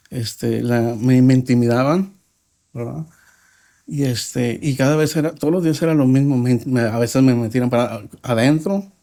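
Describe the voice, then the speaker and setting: A man from Mexico with a Mexican accent, a podcast guest telling his life story in a reflective, halting way with frequent pauses. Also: mid-range voice, warm tone. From Mexico (Nuevo Laredo). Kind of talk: personal testimony in a podcast interview.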